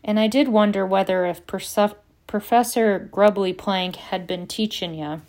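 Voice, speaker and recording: A woman voicing a gruff, kindly giant gamekeeper with rough rural speech, read in an audiobook. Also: mid-range voice, normal speed, darkish tone, close to an everyday voice. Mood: apologetic. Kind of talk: audiobook character reading.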